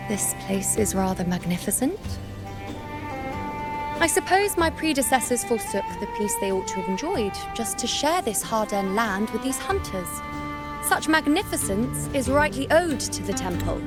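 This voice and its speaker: Haughty young priestess over loud music. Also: female voice, a little high, sing-song, normal speed. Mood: lofty admiration. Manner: haughty, boastful, theatrical. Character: proud, haughty young priestess, playful and easily bored. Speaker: game character, priestess. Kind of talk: scripted video game voice acting.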